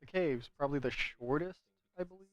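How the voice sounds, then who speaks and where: A man's voice: a little high, a little fast, lo-fi sound, background noise. A man giving casual, rambling game commentary in an offhand way as the host of a gaming video.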